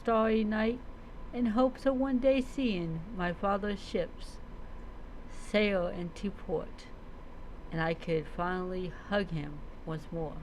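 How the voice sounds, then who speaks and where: A woman telling a story in character, reading aloud haltingly with reminiscing asides. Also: mid-range voice, darkish tone, slight background noise, rough recording. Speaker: roleplay streamer.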